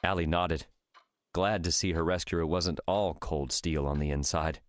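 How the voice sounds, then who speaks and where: Deep-voiced male audiobook narrator. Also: steady tone, measured pace, dry recording. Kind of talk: scripted dramatic fiction narration.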